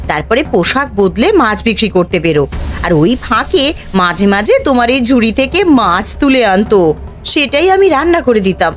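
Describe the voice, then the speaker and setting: A worried village wife and mother from a cartoon, acted by a woman, earnest and quick to scold. Her voice sits high, and faint music plays behind her. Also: close to an everyday voice. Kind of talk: cartoon voice acting.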